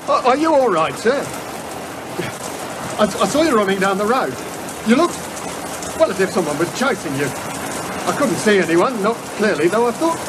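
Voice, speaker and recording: High-voiced, earnest constable in rain. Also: male voice, big pitch swings, normal speed, long pauses. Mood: concerned. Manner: deferential, hesitant, rambling. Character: earnest, concerned male police constable on night patrol. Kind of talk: audio horror drama acting. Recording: clear rain noise behind the voice.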